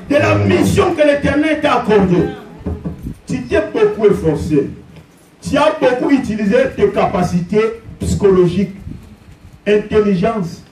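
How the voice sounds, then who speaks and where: A man delivers an impassioned sermon, his voice sitting extremely high for a man. He shouts and hammers phrases over and over. The sound is echoey and distant, with noise underneath. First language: Portuguese. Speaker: pastor. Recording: echoey room, distant mic, background noise.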